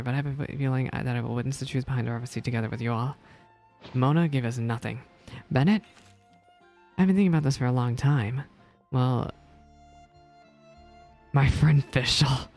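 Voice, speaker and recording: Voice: mid-range voice, a little fast, long pauses, a little mellow. A video game streamer reading game text aloud and reacting with emotional commentary. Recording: game music behind the voice. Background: video game music.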